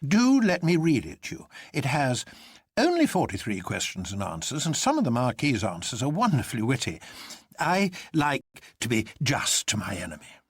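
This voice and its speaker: A man acts out an exuberant, unflappable gentleman from a storybook. His speech is pompous and grandiloquent, pitched well up and swooping widely, in a dry, close sound. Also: wildly swooping pitch, moderate pace, slightly rough, no echo. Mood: gleeful.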